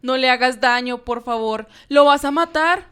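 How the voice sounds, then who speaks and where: A woman's voice: high voice, normal speed, a bit bright, clear, resonant tone. A woman playing a caring, worried mother who pleads and coaxes in a radio drama. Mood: frightened pleading. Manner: pleading, coaxing.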